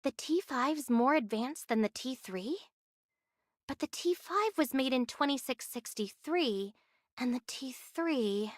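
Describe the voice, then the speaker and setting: A voice actress playing an earnest young heroine in an anime game, speaking in a direct, inquisitive way. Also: female voice, high voice, expressive, unhurried, no echo, studio quality. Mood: troubled realization. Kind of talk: scripted anime game voice acting.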